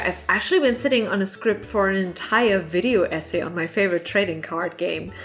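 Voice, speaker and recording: A woman hosts a casual question-and-answer vlog in an average-pitched voice. She talks in a loose, rambling, informal way and pokes fun at herself. Quiet music plays behind her. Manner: rambling, informal, self-deprecating. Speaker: online creator and channel host. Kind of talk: casual chatty Q&A vlog to camera.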